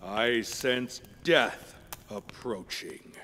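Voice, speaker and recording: An actor playing an old, grave skeleton king in a video game, speaking in a solemn, drawn-out, portentous way. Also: mid-range voice, sharp tone, slight background noise. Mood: ominous, foreboding. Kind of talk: video game cutscene acting. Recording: music behind the voice.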